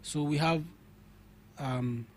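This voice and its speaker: A man's voice: on the high side, takes it slowly, darkish tone, a little hiss or hum. A young man with a Ghanaian English accent, a guest on a radio talk show, arguing his points emphatically and often repeating words as he builds them. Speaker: studio guest.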